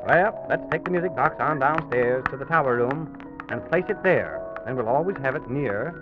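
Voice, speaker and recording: A man hosting a children's radio show as storyteller, acting along with gentle, encouraging exclamations. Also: mid-range voice, expressive, normal speed. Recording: muffled narrow vintage broadcast, loud music.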